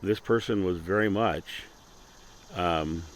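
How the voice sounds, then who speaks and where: A man with a very low, booming voice talks casually to his viewers about spiritual ideas. He is earnest and often trips into restarts and repeated words as he thinks aloud. A faint background hiss sits under the recording. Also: slow pace. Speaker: channel host. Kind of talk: casual spiritual talk to camera. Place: Akron, Ohio.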